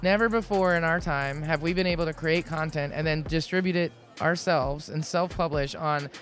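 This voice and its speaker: A woman hosting a podcast interview, talking casually and chattily with many fillers and restarts, her voice low for a woman. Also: moderate pace, faint music behind. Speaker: podcast host.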